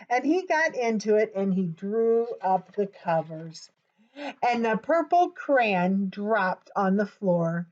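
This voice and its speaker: A woman reading a picture book aloud to young children as a storytime presenter, expressive and gasping for suspense, often putting questions straight to her listeners. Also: medium pitch, dull audio. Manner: expressive, gasps for suspense, addresses children directly.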